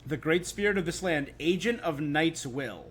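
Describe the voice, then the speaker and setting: Higher-pitched man musing on a livestream. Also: animated, moderate pace, fairly bright tone, slight background noise. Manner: musing, thinking aloud. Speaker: game livestreamer. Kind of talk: casual live reaction and lore commentary.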